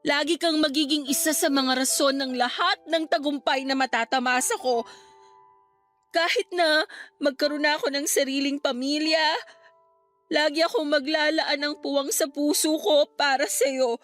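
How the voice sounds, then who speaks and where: A devoted young Filipino graduate in a radio drama, still grieving her best friend. Her voice sits fairly high and she speaks with earnest sentiment. Soft music plays behind her. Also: fairly even intonation, normal speed, no echo. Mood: tearful devotion. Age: young adult.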